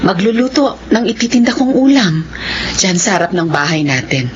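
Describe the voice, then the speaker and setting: A woman's voice: mid-range voice, animated, normal speed, close to an everyday voice. An actress playing a loving, determined middle-aged mother in a Filipino radio drama, earnest and emotional, with a Filipino accent. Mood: resolute, tender. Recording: dull, noisy radio drama audio.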